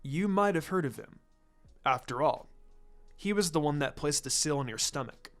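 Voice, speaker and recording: A man acts out a laid-back adult ninja teacher from an anime-style story. He is cool and understated, quietly amused and never in a hurry. Music plays faintly underneath. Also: a little high, animated, moderate pace. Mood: easygoing, kindly. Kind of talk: fan fiction story reading.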